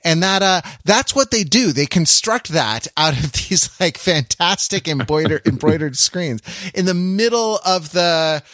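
A man with a slightly high voice, recorded very dry and close. He co-hosts a comic podcast chat and talks in wry, wandering digressions, looping back as he tells a story. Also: lively intonation, unhurried, fairly bright tone, no room sound. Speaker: podcast co-host. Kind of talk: casual comic panel chat.

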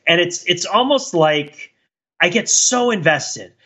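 A middle-aged man co-hosting a podcast, casually talking about pop culture in a rambling, self-reflective, animated way. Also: high voice, takes it slowly, husky. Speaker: podcast co-host.

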